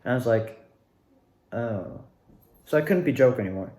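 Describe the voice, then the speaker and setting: A young man talks casually in an echoey room, his voice at an ordinary male pitch. He rambles through his story in an animated way, doubling back and repeating words for emphasis. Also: normal speed. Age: young adult. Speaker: student actor recounting past performances.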